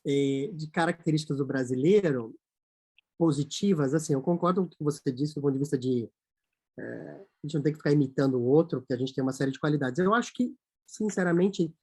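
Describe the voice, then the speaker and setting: A man from Brazil, a company CEO, talking as a guest in a casual interview, animated and acting out quoted voices. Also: fairly high pitch, fast talker, slightly rough.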